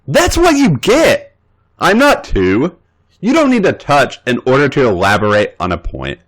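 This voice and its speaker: A man voicing a feisty, sassy young female wrestler in a story reading, pushing his voice much higher and louder. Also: huge pitch swings, measured pace. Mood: indignant. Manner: sassy, indignant.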